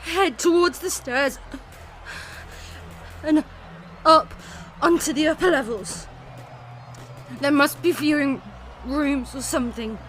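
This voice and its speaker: A woman playing a desperate, grieving survivor in an audio drama, speaking in halting, urgent phrases. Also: sits high, a bit bright. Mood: urgent, distressed. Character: desperate, grieving woman survivor leading her family in zombie apocalypse. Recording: music under the voice.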